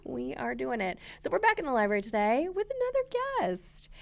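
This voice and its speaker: A woman hosting a casual chat podcast from a library room, a bit hoarse from a cold, bubbly and quick to giggle. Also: high-pitched, wildly swooping pitch. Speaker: podcast host.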